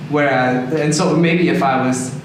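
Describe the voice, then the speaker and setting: A Canadian man speaking in a measured, deliberate way as he shares his views in a group discussion. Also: medium pitch, steady tone. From Toronto, Canada. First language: English. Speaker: discussion participant. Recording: echoey noisy room, distant mic.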